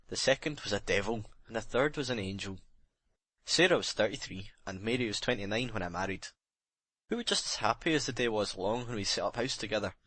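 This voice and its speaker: A man's voice: lowish voice, a bit bright, dry recording. A man playing a bitter, remorseful sailor making a brooding, emphatic confession in a dramatic audiobook reading. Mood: wistful.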